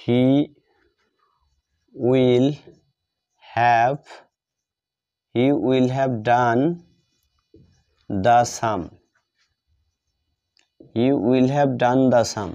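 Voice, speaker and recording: A man gives a lesson in a very slow, measured way, dictating and repeating phrases word by word. His voice is mid-pitched and somewhat mellow. The recording sounds muffled and lo-fi. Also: fairly even intonation, smooth. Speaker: English grammar teacher. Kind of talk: step-by-step lesson, writing out examples. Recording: dull lo-fi sound.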